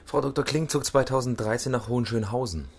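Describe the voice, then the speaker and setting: An actor playing a terse police detective in a radio crime drama, questioning in a dry, deadpan way. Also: male voice, mid-range voice, fast talker, vocal fry, breathy edge. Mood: probing. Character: terse, dryly probing male police detective. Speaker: police chief inspector.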